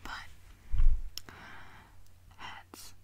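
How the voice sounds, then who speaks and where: A young woman playing a moody, smug sleep paralysis demon in a whispered ASMR roleplay, teasing and close to the mic. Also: very slow. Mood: smug, taunting. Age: young adult. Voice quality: breathy whisper. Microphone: close to the recording device.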